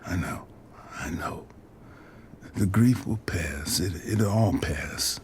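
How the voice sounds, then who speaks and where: An actor playing a gentle, concerned father in an audio drama, speaking in a hushed, halting, tender way. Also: low voice, a little hiss or hum. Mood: hushed, consoling. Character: gentle, concerned father consoling his grieving daughter.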